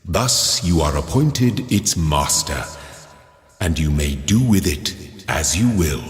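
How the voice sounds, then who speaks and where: Solemn creator deity, game narration: low, unhurried, grandiose and paternal, drenched in long cathedral-style reverb. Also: male voice. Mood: exalting. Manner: grandiose, measured, formal, preacher-like. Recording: cavernous reverb with long echoing tail.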